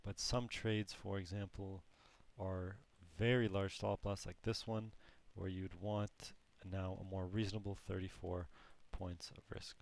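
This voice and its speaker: A hoarse man with a low, gravelly voice teaches a trading lesson. He talks very slowly and haltingly and often restarts his sentences, sounding strained from losing his voice. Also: level tone. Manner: slow, halting explanation with frequent restarts. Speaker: trading course instructor. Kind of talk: screen-recorded trading lesson.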